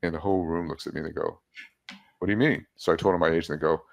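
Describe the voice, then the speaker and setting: A man's voice: low-pitched, fairly even intonation, racing, vocal fry. A man in his seventies, a guest casually telling stories about his life and laughing at his own jokes. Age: 70s. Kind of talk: casual storytelling interview.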